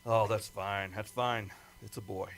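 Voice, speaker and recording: A man's voice: lowish voice, steady tone, measured pace, a bit bright, a little hiss or hum. An actor playing a worried, weary male flight control chief in an old-time radio drama, urgent and stammering. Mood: weary, grieving.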